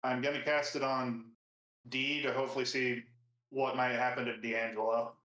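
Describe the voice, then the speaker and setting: A man's voice: mid-range voice, flat delivery, moderate pace, bright, forceful tone. Echoey man in a tabletop game. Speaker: tabletop roleplaying player. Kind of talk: casual in-game narration and chat.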